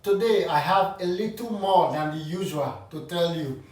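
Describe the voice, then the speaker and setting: A man's voice: on the high side, moderate pace, darkish tone. A man giving casual advice to his channel's viewers, frank and opinionated, often restarting his sentences. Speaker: vlogger. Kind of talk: casual advice talk to camera. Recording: roomy echo, distant from the mic.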